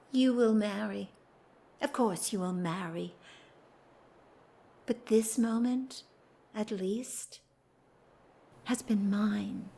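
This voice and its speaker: A woman's voice: medium pitch, vocal fry, a little mellow. An actress playing a dignified married society woman in love, speaking formally and earnestly in a period audio drama. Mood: wistful, resigned. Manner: formal, earnest, theatrical, measured delivery.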